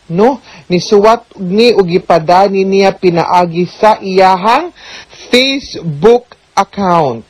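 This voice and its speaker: A woman's voice: medium pitch, dry recording, low-quality recording. A woman with a Cebuano Filipino accent, a lawyer hosting a radio advice show, explaining things conversationally and often checking in with listeners. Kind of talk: radio legal advice talk.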